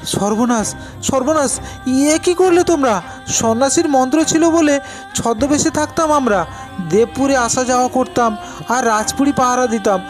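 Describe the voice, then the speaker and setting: An earnest young monkey prince played by a male narrator speaks in a raised, high voice. He is dutiful, a storybook hero over music.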